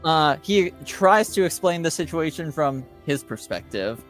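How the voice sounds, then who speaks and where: A man acting as game master, casually recapping a tabletop roleplaying story in a halting, drawn-out way. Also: on the high side, expressive, a bit bright, faint music behind.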